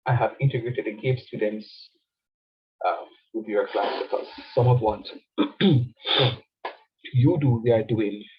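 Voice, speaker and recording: A man lectures a class with a stuffy, nasal voice from a cold, his tone slightly husky. He repeats key phrases and keeps asking whether the students follow him. The sound is a dull, lo-fi classroom recording. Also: medium pitch. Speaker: university lecturer.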